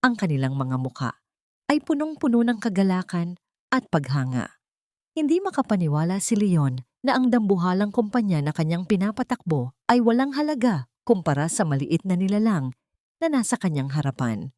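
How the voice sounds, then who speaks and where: A Filipino woman narrating a scripted dramatic story in an expressive, measured way. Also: on the low side, no room sound, clean studio sound.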